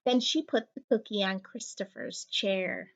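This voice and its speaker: A woman's voice: medium pitch, sing-song, unhurried, gravelly, lo-fi sound. A woman teacher reading a children's picture book aloud, expressively, playing with the sound-effect words.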